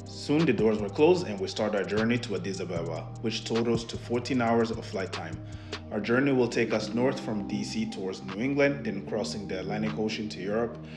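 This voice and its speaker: A man with a fairly low voice delivers a scripted travel review. Loud music plays behind his narration throughout. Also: fairly quick. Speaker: travel vlogger. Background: loud stock music.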